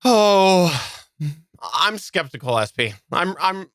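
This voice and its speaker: Bright, crisp-voiced man co-hosting a podcast. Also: high voice, expressive, no room sound, clean studio sound. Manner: halting, repeats words as he goes. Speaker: podcast co-host. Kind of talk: casual live podcast chat.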